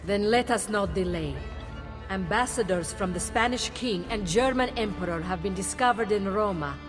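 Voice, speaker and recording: Actress as composed, calculating Italian brothel madam, mid-pitched, game cutscene with score. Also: female voice, normal speed, over music, close to an everyday voice. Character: composed, shrewd female head of courtesans. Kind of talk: dramatic video game cutscene acting.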